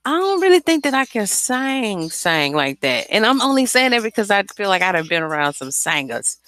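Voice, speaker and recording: A woman's voice: medium pitch, very animated, moderate pace, fairly bright tone, dry recording. A woman with a light, airy voice chatting casually and candidly about her music, informal and slangy, swearing freely. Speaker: singer and songwriter. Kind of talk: casual, unscripted chat about making music.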